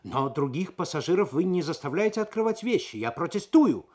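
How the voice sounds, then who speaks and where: Man doing an indignant high-pitched foreign businessman. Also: very animated, brisk, darkish tone. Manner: protesting, agitated. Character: indignant, nervous fat foreign businessman, male.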